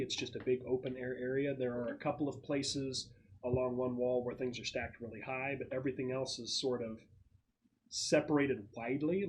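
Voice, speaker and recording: A man running a tabletop game as game master, narrating casually and haltingly as he thinks aloud and describes things. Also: medium pitch. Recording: noisy room recording with clicks.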